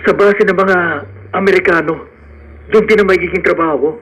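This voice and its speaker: A man's voice: high-pitched, fairly even intonation, a little fast, fairly bright tone. A man playing a poor, hopeful and determined young man in love, in an earnest radio drama style. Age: young adult.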